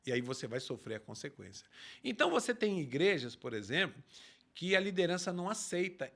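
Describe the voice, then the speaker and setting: Lively emphatic pastor. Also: male voice, sits high, fairly quick, gravelly. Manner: emphatic, lively preacher explaining scripture. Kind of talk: preaching in a talk show.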